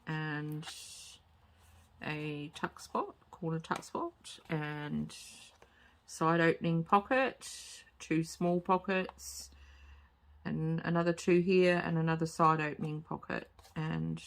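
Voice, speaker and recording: A woman with a slightly croaky voice, hosting a casual craft tutorial at home and talking hesitantly with many fillers. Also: low voice. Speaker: crafting channel host. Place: home craft table, late at night.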